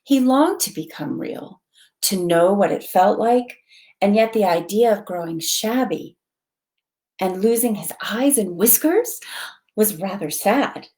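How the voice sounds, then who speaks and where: A woman reads a children's story aloud in an expressive, dramatic storytelling manner. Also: medium pitch, measured pace. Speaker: volunteer storytime reader, library board member.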